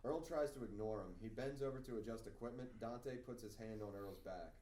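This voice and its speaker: A man reads out stage directions as the narrator of a script reading. His voice is medium in pitch, a little away from the mic in an echoey room. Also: fairly quick, warm tone. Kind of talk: reading screenplay stage directions at table read. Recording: echoey room, distant, faint noise.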